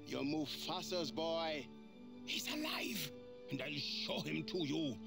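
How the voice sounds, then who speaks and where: Quirky old baboon sage, playful riddles, as the narrator puts him on. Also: male voice, a little high, sing-song, slow, vocal fry, over music, full character voice. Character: eccentric old male baboon shaman.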